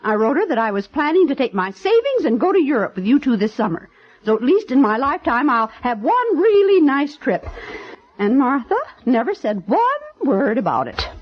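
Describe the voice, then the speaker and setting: An actress playing a fussy, easily offended older aunt in a 1950s radio drama, fretful and emphatic. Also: female voice, high voice, big pitch swings, moderate pace. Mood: hurt. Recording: dull vintage 1950s radio recording.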